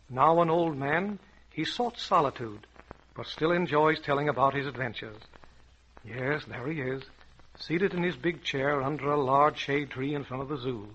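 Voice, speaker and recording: A solemn old-time radio announcer sets the scene in scripted narration. The recording is dull and lo-fi. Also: male voice, fairly high pitch, bright, forceful tone, breathy edge.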